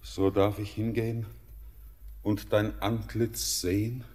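A spellbound young miner. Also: male voice, fairly low pitch, flat delivery, a little mellow. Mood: awed. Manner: halting, awed, breaks into desperate cries. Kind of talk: classic German radio play acting. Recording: long hall reverb.

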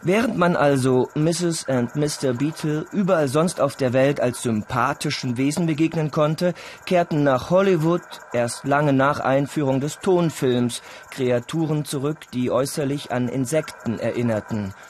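A man's voice: medium pitch, moderate pace, few pauses, a little hiss or hum. A man reading scripted documentary-style narration as a narrator.

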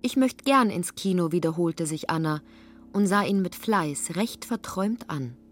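A woman's voice: fairly low pitch, animated, moderate pace. A woman reading literary narration expressively, slipping into characters' lines. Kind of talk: scripted literary narration for radio.

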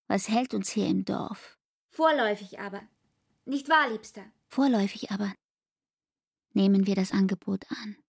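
An actress playing a devoted, determined young fiancée in a German literary radio drama, speaking in an insistent, coaxing way. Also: female voice, medium pitch, moderate pace, gravelly, pauses often, breathy edge, dry recording, clean studio sound. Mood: eager, urgent.

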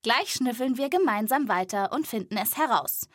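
A woman presenting a children's podcast as an animal reporter, in lively scripted narration addressed to young listeners. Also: a little high, moderate pace, dry recording, studio quality.

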